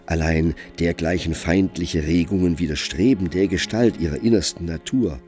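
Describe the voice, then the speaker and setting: A man narrating a literary radio play from a script, telling the story in a measured way with expressive emphasis. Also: deep voice, faint music behind.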